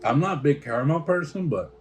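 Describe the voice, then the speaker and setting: A man's voice: fairly high pitch, lively intonation, quick pace. A man hosting a casual snack taste-test, reading out product notes and stumbling over foreign names. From United States.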